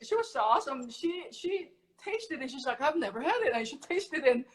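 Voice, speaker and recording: A middle-aged woman from Korea who teaches kimchi making, explaining in a casual, animated way with everyday comparisons. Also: high voice, fairly quick. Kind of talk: casual cooking chat.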